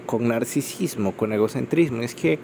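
A man's voice: mid-range voice, moderate pace, creaky, a little mellow, slight background noise. A Salvadoran man with a Salvadoran Spanish accent hosting an unscripted solo podcast, rambling with frequent fillers, recorded on a phone microphone. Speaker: podcast host.

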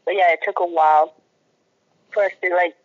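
A woman's voice: lowish voice, fairly even intonation, a little fast, dull audio. A woman from Puerto Rico with a Puerto Rican accent, telling her personal story conversationally as an interview guest.

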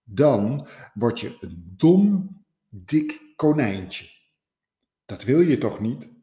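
A man's voice: medium pitch, very animated, very slow, mellow. A man voicing an anxious, fussy male rabbit father in a storybook, scolding and fretful. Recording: roomy echo.

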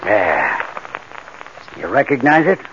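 A man's voice: medium pitch, big pitch swings, slow pace. A man acting a confident, scheming tough crook who gives instructions. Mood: confident, persuading. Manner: assured, instructing, tough-guy slangy. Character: Marty, confident scheming bank-job mastermind, tough crook. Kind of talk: 1940s radio crime drama acting. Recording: muffled old-time radio recording, background hiss.

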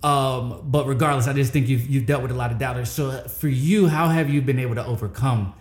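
A man's voice: mid-range voice, fairly quick, roomy sound. A man hosting a podcast, talking casually and repeating his words, with many "all right"s and "man"s. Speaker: podcast host. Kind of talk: casual interview chat.

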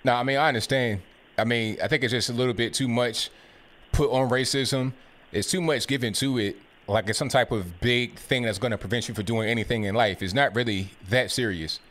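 A man's voice: mid-range voice, brisk, a bit bright. A man hosting a call-in show, thanking callers and taking the next line in an easygoing way. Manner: easygoing, brief replies to callers. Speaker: host. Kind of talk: call-in radio talk.

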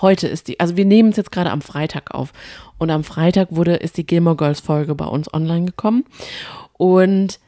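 A young woman chats as a podcast co-host, with a fairly low pitch and a mellow tone. She rambles along in a chatty, friendly way. The recording is dry and close. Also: normal speed, no echo. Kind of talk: casual chat.

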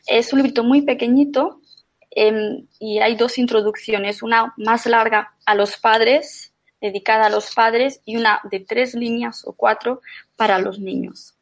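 A middle-aged woman from Menorca, chatting as a podcast guest and often restarting her sentences. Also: fairly high pitch. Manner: chatty, with frequent restarts and fillers. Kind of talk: casual conversation.

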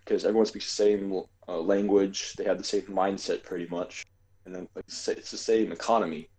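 A man with a low, mellow voice co-hosts a casual podcast chat. He rambles, circles back and hedges as he explains things. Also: fairly even intonation, brisk, poor audio. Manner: rambling, filler-heavy, hedging. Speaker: podcast co-host.

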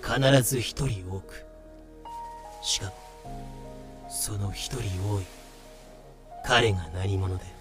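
A man acts as the narrator of an eerie pirate legend. His voice is fairly low and grave, in scripted storytelling over music. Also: slow pace, long pauses. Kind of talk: scripted occult-tale narration in audio drama.

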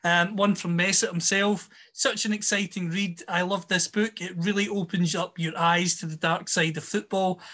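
A man's voice: high voice, steady tone, moderate pace, hardly any pauses, sharp tone. A man hosting an interview, asking questions in a polished, enthusiastic way. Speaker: podcast host. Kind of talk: interview host.

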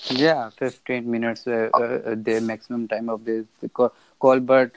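A polite young man in his twenties who talks haltingly, with many restarts, on a video call. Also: mid-range voice, level tone, background noise. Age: 20s. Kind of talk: casual conversation.

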